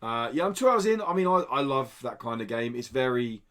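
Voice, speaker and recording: A male podcast co-host with a medium-pitched voice talks casually about video games. His delivery is informal and conversational, peppered with the occasional swear word. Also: very animated, a little fast, a bit bright.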